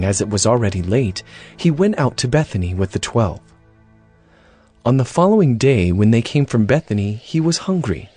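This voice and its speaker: A man's voice: mid-range voice, a little mellow, breathy edge. A man narrating scripture from a script, as the storyteller of a dramatized reading. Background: music.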